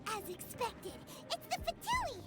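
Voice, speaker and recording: A tiny childlike fairy sidekick in a video game, extremely high and squeaky. The little creature is eager yet timid, speaking slowly over loud music. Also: female voice, huge pitch swings, exaggerated voice. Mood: nervous. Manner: hesitant, stammering.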